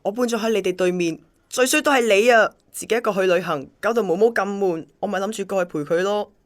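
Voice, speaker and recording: A woman's voice: medium pitch, quick pace, lightly acted. A woman acting an obsessive, lovesick male courier in a radio drama, pleading and melodramatic, with a Hong Kong Cantonese accent. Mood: pleading, aggrieved.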